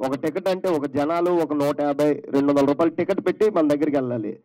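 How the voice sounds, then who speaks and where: A man's voice: a little high, very fast, warm tone, faint music behind, low-quality recording. A man working as a media reporter, putting questions at a press conference with hesitant, circling phrasing.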